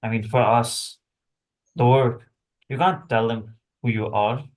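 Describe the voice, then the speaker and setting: Man playing a weary, wry assassin, medium-pitched. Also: steady tone, unhurried, close to an everyday voice. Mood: rueful. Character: weary, chatty male assassin, wry under threat. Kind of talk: screenplay table read acting.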